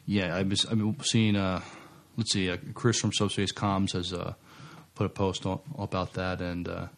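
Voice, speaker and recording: A male podcast co-host with a fairly low voice chats casually. His delivery is understated and a bit hesitant, as if thinking while he talks. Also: level tone, moderate pace. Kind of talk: casual chat.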